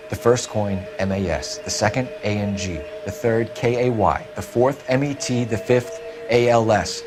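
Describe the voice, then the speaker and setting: An actor playing a serious police detective in a video game, with a clipped, matter-of-fact manner. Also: male voice, on the low side, normal speed, over music, close to an everyday voice. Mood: businesslike.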